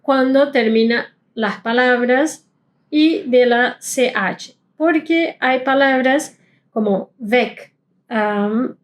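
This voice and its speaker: Woman teaching German pronunciation. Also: high-pitched. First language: not Spanish. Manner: explanatory, slows down to model sounds. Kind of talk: pronunciation lesson.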